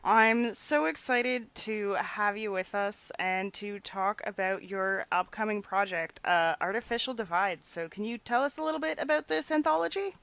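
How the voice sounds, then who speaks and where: A woman's voice: medium pitch, moderate pace, creaky, hardly any pauses, a bit bright, clean tone, no room sound. A woman hosting an interview podcast, introducing and questioning her guest.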